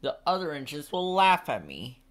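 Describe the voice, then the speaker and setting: A man's voice: fairly high pitch, huge pitch swings, moderate pace, a bit bright, a little hiss or hum, pitched above the performer's own voice. Man voicing a stubborn, grumbling little steam engine. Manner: grumbling, reluctant. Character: stubborn, self-conscious young male steam engine.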